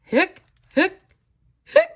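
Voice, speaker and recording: A woman voicing a helpless, startled storybook skeleton in short, repeated squeaky hiccups. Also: drawn-out words. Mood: startled, hiccuping. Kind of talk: picture book read-aloud.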